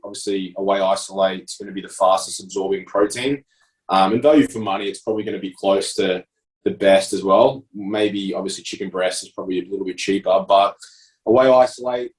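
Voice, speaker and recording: Man, 30s, Australian accent, lowish voice, enthusiastic casual podcast talk, slightly distant mic. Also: a little mellow. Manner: enthusiastic, explanatory, fillers like "like" and "obviously". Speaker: prep coach podcast co-host.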